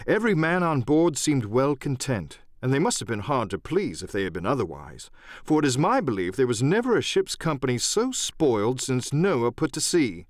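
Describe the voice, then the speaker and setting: A man narrating an adventure novel as dramatic, scripted storytelling in a measured literary style. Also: medium pitch, sing-song, no echo, studio quality. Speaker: audiobook narrator and voice actor.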